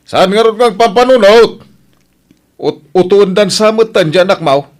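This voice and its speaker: A man's voice: high-pitched, normal speed, close to an everyday voice. A man playing a demanding, irritable father in an Ilocano radio drama, scolding and nagging with an Ilocano accent. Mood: grumbling. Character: demanding, irritable father pressing his daughter for money.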